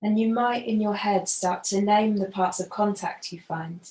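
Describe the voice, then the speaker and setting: A woman gently guiding a body scan as a yoga facilitator, unhurried and leaving pauses. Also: mid-range voice. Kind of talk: guided body scan meditation instruction. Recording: roomy, echoing voice.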